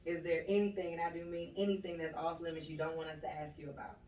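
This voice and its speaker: A woman co-hosting a podcast, lively and quick to jump in and joke. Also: deep voice. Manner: lively, interjecting and joking. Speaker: podcast co-host. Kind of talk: casual interview chat. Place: echoey podcast room. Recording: echoey room, distant mic, background noise.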